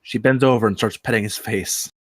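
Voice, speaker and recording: A man's voice: lowish voice, steady tone, fairly quick, a bit bright, no room sound. A man narrating scene headings and action from a film script at a table read. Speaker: narrator of stage directions.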